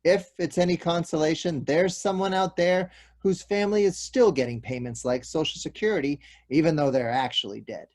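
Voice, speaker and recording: An actor playing a courteous, apologetic police detective who explains bad news in a measured, official way at a table read. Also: male voice, on the high side, darkish tone, lightly acted. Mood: apologetic, explanatory. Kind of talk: stage comedy-drama table read.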